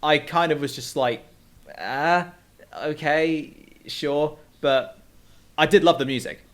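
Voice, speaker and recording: A man's voice: on the high side, steady tone, slow, a bit bright. A man co-hosting a music show, discussing songs in a casual, conversational way.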